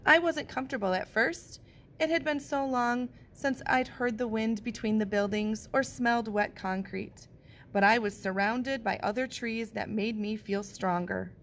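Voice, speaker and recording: A woman, a teacher, reading a picture book aloud and pausing to point out what the pictures show. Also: fairly high pitch, a little hiss or hum. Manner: gentle, slow storybook delivery with pauses.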